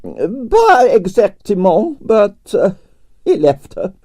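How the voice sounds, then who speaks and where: Russian prima ballerina, aging, imperious, candid, Russian accent, high swooping theatrical voice, dry close sound. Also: male voice, wildly swooping pitch, slow pace, dark tone, no room sound, full character voice. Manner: broken English, grand declarations.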